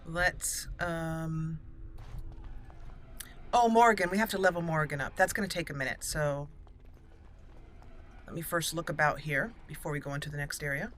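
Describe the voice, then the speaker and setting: A woman doing let's play commentary on a fantasy game, slipping into formal, deliberate in-character speech. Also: deep voice, fairly bright tone, a little hiss or hum.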